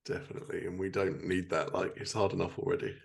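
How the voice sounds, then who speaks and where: A man's voice: low voice, flat delivery, fast talker, vocal fry. A man hosting a podcast in a casual, conversational interview style. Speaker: podcast host.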